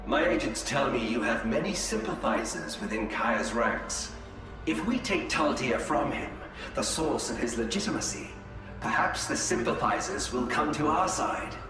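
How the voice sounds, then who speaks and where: An actor playing a calm, scheming Eldar adviser who gives measured reports in a game cutscene. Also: male voice, medium pitch, close to an everyday voice. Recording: reverberant, distant, music behind.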